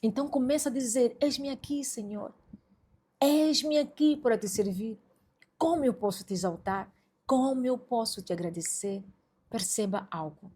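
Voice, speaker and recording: A woman's voice: fairly high pitch, moderate pace, dark tone, husky. A woman hosting a religious podcast, preaching to listeners in an emphatic, exhorting way and addressing them directly. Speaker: podcast host.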